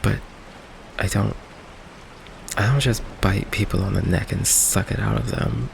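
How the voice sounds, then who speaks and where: Low, gravelly man as a gentle vampire boyfriend. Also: noisy background. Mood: earnest, defensive. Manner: hesitant, halting, soft-spoken, apologetic. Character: gentle, insecure male goth vampire boyfriend confessing his secret. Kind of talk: ASMR boyfriend roleplay. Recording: steady rain ambience behind the voice. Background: rain ambience.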